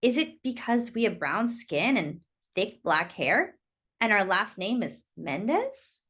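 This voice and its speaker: A woman's voice: mid-range voice, big pitch swings, slow, fairly bright tone, husky, heavily acted. A woman voicing a puzzled, hurt young schoolgirl in a storybook, plaintive and questioning.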